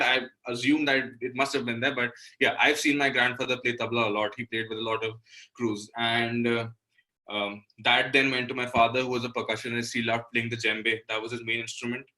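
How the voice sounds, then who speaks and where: A man's voice: mid-range voice, steady tone, a little fast, pushed and bright, low-quality recording. A young man from Delhi with an Indian accent, talking casually and self-deprecatingly as a musician guest in an interview. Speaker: interview guest, singer-songwriter and guitarist.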